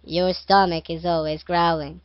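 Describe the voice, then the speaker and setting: A man with an Egyptian accent voicing a hungry, timid, child-like cave creature, speaking slowly and flatly, recorded on a headset. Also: on the high side, smooth, dry recording, dull audio, lightly acted. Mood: plaintive.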